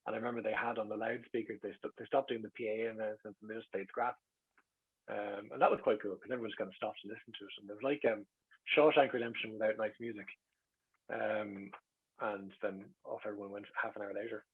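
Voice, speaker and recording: A man's voice: on the low side, fairly even intonation, quick pace, husky. A middle-aged Irish man telling casual, rambling anecdotes as an interview guest, wry and self-deprecating, in a slightly lo-fi recording. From Ireland.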